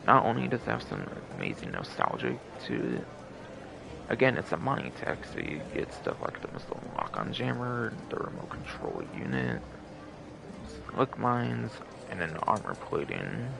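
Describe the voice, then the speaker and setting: Man, casual game streamer, very creaky voice, pitch swinging widely, rambling and repetitive, noticeably noisy recording. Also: huge pitch swings, moderate pace. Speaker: game livestream host.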